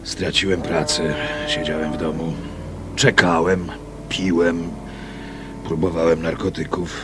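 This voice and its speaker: An actor in a radio drama playing a broken, homeless drunk who rambles and craves whisky. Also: male voice, low voice, level tone, unhurried, noisy background. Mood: despairing, bitter.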